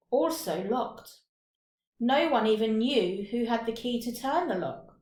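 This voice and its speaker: Woman narrator, high-set voice, very unhurried, expressive story reading, dramatic pauses, echoey room. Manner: deliberate, dramatic phrasing with pauses. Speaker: teacher reading a short story. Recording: roomy, echoing room.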